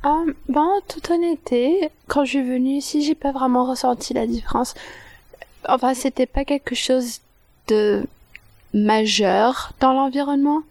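A teenage girl, a high school intern, answering interview questions earnestly, speeding up in quick bursts. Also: darkish tone, no room sound. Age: teens.

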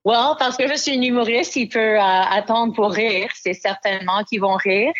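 A woman's voice: medium pitch, normal speed. A woman comedian from Ontario, an English Canadian speaker talking frankly in French and dropping English fillers, in an interview. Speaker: stand-up comedian. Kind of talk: interview answers. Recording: dull lo-fi recording.

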